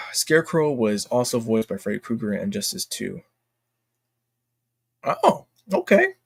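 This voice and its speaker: A man's voice: fairly low pitch, huge pitch swings, a little slow, vocal fry, breathy edge, no room sound. Casual man streamer with a dry, close sound. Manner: opinionated, informal, emphatic, slangy "dude" and "bro". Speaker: livestream host. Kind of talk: casual livestream chat about games.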